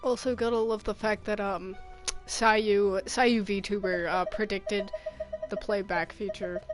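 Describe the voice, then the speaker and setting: A woman giving casual live reactions as a game streamer, playful, expressive and slangy. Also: mid-range voice, unhurried, music in the background.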